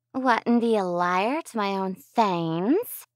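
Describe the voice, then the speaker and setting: A woman's voice: medium pitch, slow, dry recording, clean studio sound, lightly acted. A woman playing a commanding, scheming villainess who speaks with theatrical condescension to her minions.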